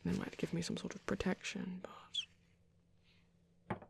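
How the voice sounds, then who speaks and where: A soft, half-whispered voice with a creaky edge from a man playing a part gives life to a caring vampire priest in an ASMR roleplay. He speaks tenderly and without hurry, pausing gently as he comforts a little one. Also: sits high, little pitch movement, full character voice. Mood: soothing, reflective. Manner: tender, unhurried, hesitant pauses. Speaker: ASMR performer.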